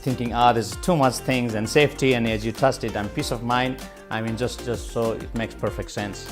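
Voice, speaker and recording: A man's voice: mid-range voice, fairly even intonation, normal speed. A man from Nepal interviewing a guest, enthusiastic and full of short affirmations. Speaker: interviewer, marketing agency. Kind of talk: interview chat. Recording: light music behind.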